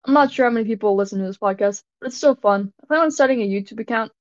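Woman w a low voice rambling on her podcast, trips over words, dull muffled audio. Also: racing, dry recording. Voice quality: deep voice for a woman. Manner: rambling, self-conscious, stumbles over words. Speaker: podcast host. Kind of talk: casual rambling chat.

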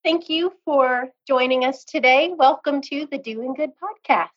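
A woman's voice: high voice, unhurried, dull audio. A woman hosting a podcast, asking encouraging, friendly questions in an interview. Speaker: podcast host.

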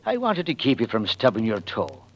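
An actor playing a gruff, worried, plainspoken working-class American father in 1940s radio drama style. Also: mid-range voice, sing-song, moderate pace. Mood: exasperated, worried. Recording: muffled vintage radio recording with music.

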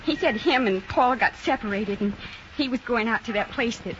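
A woman's voice: fairly high pitch, normal speed, close to an everyday voice. A woman playing an anguished, frightened criminal's wife in a 1950s radio drama, speaking hesitantly and plainly. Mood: troubled, confiding. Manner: hesitant, plain unschooled speech. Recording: dull, noisy 1950s radio recording.

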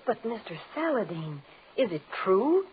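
An earnest female assistant speaks with animated, anxious, doubtful intonation in a 1940s radio drama. The old recording is tinny and slightly noisy, and her manner is deferential. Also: medium pitch, measured pace. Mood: doubtful, curious.

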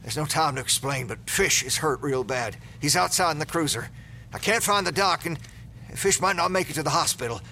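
An actor playing an earnest, protective small-town sheriff in an audio drama, speaking urgently and stammering under stress. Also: male voice, on the high side, animated, a little fast, sharp tone, a little hiss or hum. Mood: tense.

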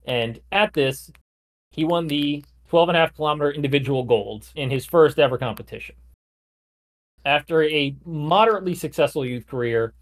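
Male podcast co-host, a touch high-pitched, casual and rambling, heavy on filler words. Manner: chatty, lots of "like" and "you know", occasional restarts. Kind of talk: casual chat, recounting a sports career.

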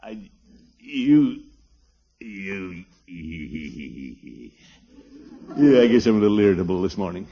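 A pompous, sly middle-aged household head from a vintage radio comedy. The voice is husky and swoops widely up and down as he hems and blusters. The sound is dull old broadcast tape. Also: low voice, very animated, takes it slowly, pauses often, mellow, full character voice. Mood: irritable. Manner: pompous, hemming and chuckling. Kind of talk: 1950s radio sitcom acting.

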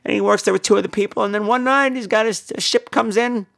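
A man hosting a podcast in a campy, irreverent, rambling way, his voice hoarse from laryngitis. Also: high-pitched, steady tone, quick pace, fairly bright tone. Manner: campy, irreverent, crude joking. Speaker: podcast host. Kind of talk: rambling comic casual chat.

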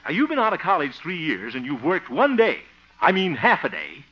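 A man's voice: on the high side, wildly swooping pitch, normal speed, fairly bright tone, husky, exaggerated voice. An actor playing an exasperated, pompous but good-hearted man in a 1950s radio sitcom, blustering and muttering asides. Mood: lecturing. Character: exasperated, pompous, good-hearted grown son and self-styled mentor. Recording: dull old-time radio recording.

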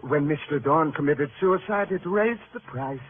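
Smug, insinuating aesthete photographer, played by a male actor, florid phrasing, highish pitch, noisy old radio crime show. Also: expressive, moderate pace, narrow, tinny audio, close to an everyday voice. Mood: boastful. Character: pretentious, insinuating male freelance news photographer, smugly devoted to perfection. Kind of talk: 1950s radio crime drama acting. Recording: noisy, band-limited old radio recording.

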